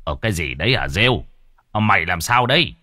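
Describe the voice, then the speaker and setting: A humble old village man, acted by a male storyteller, credulous and easily startled. He talks hesitantly with swooping pitch, as a figure in a spoken horror tale. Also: big pitch swings, fast, sharp tone, no echo, clean studio sound, exaggerated voice. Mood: puzzled. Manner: hesitant, deferential, stammering.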